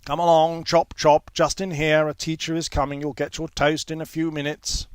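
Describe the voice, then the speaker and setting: A man voicing a brisk, bossy schoolboy prefect in a storybook, calling out commands. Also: on the high side, level tone, clear, resonant tone, no room sound, studio quality, voice pushed up, close to an everyday voice. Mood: bossy, hurrying.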